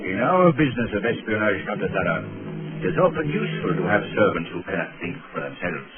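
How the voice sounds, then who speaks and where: An actor playing a smug, menacing foreign spy chief with suave formality, in 1930s radio drama style. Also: male voice, fairly low pitch, sing-song, fast talker, loud music behind the voice. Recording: muffled, tinny 1930s radio recording.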